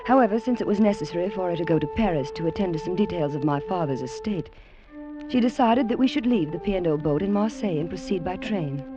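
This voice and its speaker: An actress playing a genteel, gently firm middle-aged British mother in a refined, measured, soft-spoken manner, in 1940s radio drama style. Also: lowish voice, lively intonation, music in the background, close to an everyday voice. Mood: hesitant, wistful. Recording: muffled vintage radio recording.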